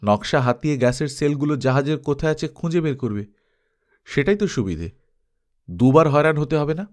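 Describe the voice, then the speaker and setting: A man's voice: a little high, lively intonation, fairly quick, a little mellow, voice pushed up, lightly acted. A man voicing an alert male adventurer, a reactive companion in an audiobook story. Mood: reasoning.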